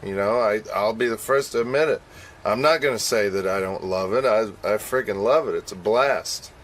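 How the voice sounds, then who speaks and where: A man's voice: on the low side, moderate pace, a bit bright, a little hiss or hum. A man chatting casually on a radio show, rambling and making self-deprecating admissions. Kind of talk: casual on-air chat.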